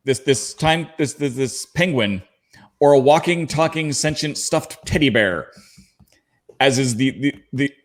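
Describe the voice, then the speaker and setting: A man's voice: medium pitch, a little slow. Man, mid-range voice, podcast panelist, casual explanatory fan talk.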